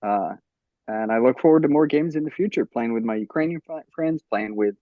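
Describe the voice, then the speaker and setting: A man's voice: medium pitch, brisk, no room sound. Hesitant man on a dull, dry call. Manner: hesitant, repeats words. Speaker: game master. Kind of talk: casual online chat.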